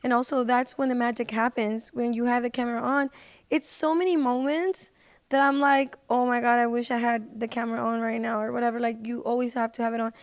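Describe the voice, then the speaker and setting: Woman, podcast guest, slightly high voice, very smooth, fairly flat intonation, earnest casual chat. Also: a little fast. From United States. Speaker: podcast guest, singer and social media personality.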